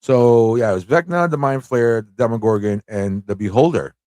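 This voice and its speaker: A man with a mid-pitched voice hosts a live quiz in a loose, conversational way. He reads questions aloud, repeats them and chats with viewers, and the sound is close and dry. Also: normal speed, warm tone, no echo. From United States. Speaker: livestream host running a trivia quiz. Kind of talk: casual live quiz hosting, chatting with viewers.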